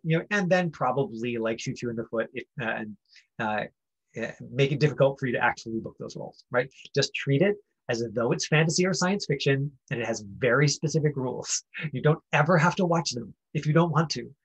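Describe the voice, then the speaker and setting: A man's voice: mid-range voice, slightly rough, highs cut off. A man teaching as an acting coach, informal and rambling, often checking in with his listeners as he explains and directs. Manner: rambling, checks understanding with "right?".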